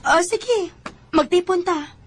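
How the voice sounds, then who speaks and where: A woman's voice: extremely high pitch, slow pace, faint music behind. A woman acting a shy, trusting young working woman in love in a Bisaya radio drama, with a Cebuano Filipino accent. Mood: tender.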